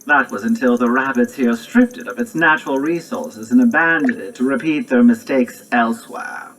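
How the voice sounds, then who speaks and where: A woman's voice: a bass voice, lively intonation, moderate pace, a little hiss or hum, low-quality recording. A woman voicing a chatty, self-assured robot guide in a video game cutscene, theatrical and formal in delivery. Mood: solemn.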